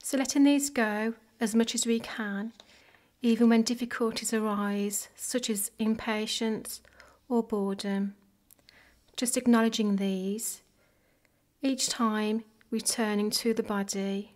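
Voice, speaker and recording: A woman leading a guided body scan meditation in a soft, gentle, measured way. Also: a little high, steady tone. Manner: gentle, measured, unhurried phrasing with pauses.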